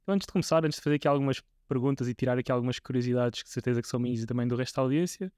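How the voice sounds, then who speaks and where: A man's voice: medium pitch, rapid-fire, darkish tone, no echo, studio quality. A man in his twenties with a European Portuguese accent, hosting a podcast interview in a casual, hesitant way with frequent restarts. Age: 20s. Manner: hesitant, many restarts and fillers. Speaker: podcast host.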